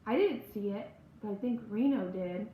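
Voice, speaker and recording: A woman's voice: mid-range voice, normal speed, narrow, tinny audio, natural voice. A woman voicing a creepy yet innocent-seeming little boy in a storybook, childlike and sly. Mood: wide-eyed. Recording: roomy echo, faint background noise.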